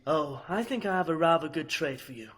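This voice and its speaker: A man's voice: a little high, expressive, brisk, a bit bright, breathy edge. An actor playing a smug, sadistic male villain in an audio drama, mocking, theatrical and manipulative. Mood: sly, gleeful.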